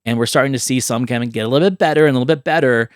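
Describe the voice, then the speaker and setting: An enthusiastic man hosting a casual movie podcast, with a mid-pitched voice. The sound is close and dry, with little room on it. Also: racing, no echo. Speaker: podcast host.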